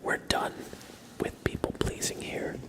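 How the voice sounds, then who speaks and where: A man doing a whispered ASMR livestream, chatting to viewers in a breathy, playful, teasing way with dry humor. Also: unhurried. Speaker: ASMR live streamer.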